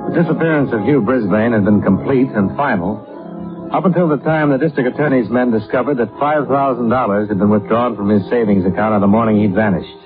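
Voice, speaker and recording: An American actor playing a cool, terse insurance investigator in a vintage radio detective drama, matter-of-fact in manner. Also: male voice, mid-range voice, brisk, over music, lightly acted. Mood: businesslike. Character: probing, terse male insurance investigator, hard-boiled and cool. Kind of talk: 1950s radio detective acting. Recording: muffled, phone-like vintage radio recording.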